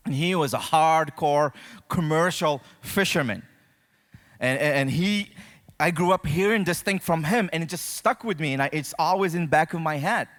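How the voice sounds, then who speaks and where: A man's voice: fairly high pitch, moderate pace, a bit bright. A man from Morocco preaching a sermon to a congregation, talking to his listeners directly and conversationally. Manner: conversational, addresses listeners directly with check-in questions. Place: church auditorium, speaking to a congregation. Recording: reverberant hall sound.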